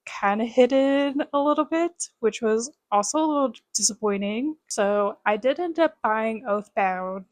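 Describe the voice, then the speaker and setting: A woman's voice: a little high, a little slow, hardly any pauses, dry recording. A young woman chatting casually as a book vlogger, rambling with lots of fillers. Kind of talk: casual chat to camera.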